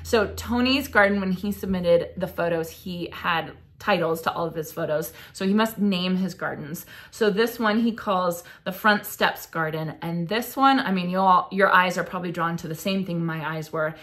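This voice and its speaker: Enthusiastic woman host, somewhat low voice. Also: normal speed, few pauses, fairly bright tone. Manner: gushing, enthusiastic, exclamations with rising pitch. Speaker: gardening channel host. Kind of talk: casual chat reading viewer submissions. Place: home office, indoors.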